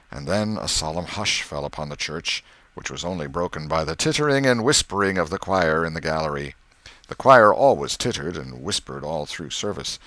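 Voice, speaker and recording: A man reading a literary audiobook as narrator, in an expressive, measured storytelling manner. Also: low-pitched, no echo.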